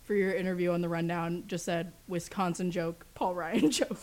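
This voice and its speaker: A woman's voice: fairly low pitch, steady tone, normal speed, darkish tone, slight background noise. A young woman from Portland hosting a college radio talk show, teasing her co-host and guest with a playful, laughing manner. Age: young adult. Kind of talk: casual banter and interview.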